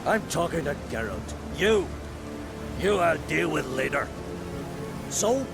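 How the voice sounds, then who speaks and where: An actor playing a pompous, indignant older druid scholar in a video game, with a theatrical, scolding manner. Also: male voice, on the high side, big pitch swings, slow pace, gravelly, full character voice. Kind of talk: video game cutscene acting. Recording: music and effects behind.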